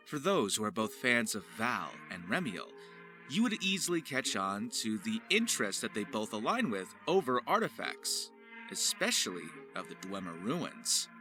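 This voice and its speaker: Play a showy male narrator presenting his voice work, lively pitch swings, grand turns of phrase. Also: normal speed, music in the background. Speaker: voice actor and video host. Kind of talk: scripted video narration with playful showmanship.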